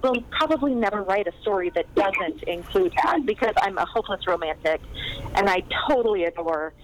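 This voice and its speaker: A woman's voice: medium pitch, animated, brisk, slight background noise, poor audio. A woman novelist chatting as a podcast guest, enthusiastic and talkative, with frequent ums and easy laughter. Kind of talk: casual podcast interview chat.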